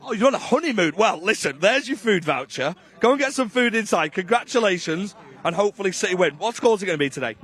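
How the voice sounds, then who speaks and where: A man's voice: high-pitched, expressive, fast, few pauses, a bit bright, husky. A man working as a roving presenter, chatting directly and upbeat with fans in live outdoor interviews. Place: outdoors outside a stadium.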